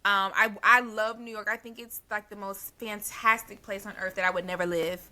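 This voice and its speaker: A woman's voice: mid-range voice, steady tone, normal speed, over a noisy crowd. A woman from Texas with a Southern accent, chatting casually on a podcast and laughing often. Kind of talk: casual chat.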